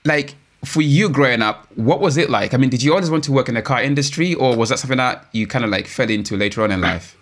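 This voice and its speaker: A man's voice: medium pitch, quick pace. A man from Africa hosting a car show, chatting informally and enthusiastically with his guest. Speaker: host of a car talk show. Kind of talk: casual interview chat.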